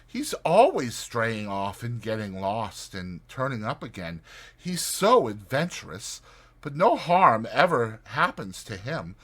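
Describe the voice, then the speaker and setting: A man's voice: mid-range voice, very animated, takes it slowly, a bit bright, breathy edge, full character voice. A man voicing an easygoing male mole in a dramatized storybook, talking lightly and reassuringly. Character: easygoing, unworried male mole, kindly animal friend. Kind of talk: dramatized storybook character reading.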